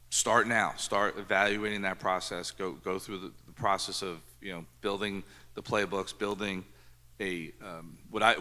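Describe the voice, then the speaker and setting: Deep-voiced man on a conference panel. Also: bright, forceful tone. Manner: earnest, repeats words while thinking. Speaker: panelist, former CISO. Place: conference hall. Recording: echoey conference hall.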